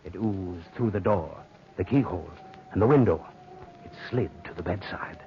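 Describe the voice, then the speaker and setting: Lowish, ominous horror narrator. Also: male voice, moderate pace. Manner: ominous, dramatic, building repetition. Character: dramatic male horror storyteller. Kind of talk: scripted 1940s radio horror narration. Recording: dull, noisy old radio recording.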